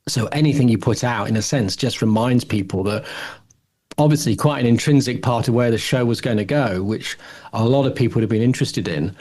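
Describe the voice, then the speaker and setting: A man's voice: medium pitch, a little fast, a little mellow. Reflective man in casual discussion. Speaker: podcast co-host.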